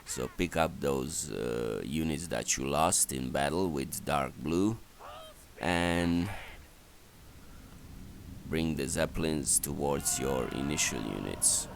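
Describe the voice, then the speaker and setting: A man giving halting, matter-of-fact, instructional commentary on a video game strategy. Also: rumbling low, creaky, slight background noise.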